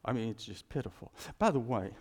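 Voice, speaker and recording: A man's voice: medium pitch, expressive, fast, darkish tone. A man preaching a casual sermon from the United States, rambling and restarting, chuckling as he tells his stories. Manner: rambling, self-interrupting, chuckling storyteller. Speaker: pastor. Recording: long hall reverb.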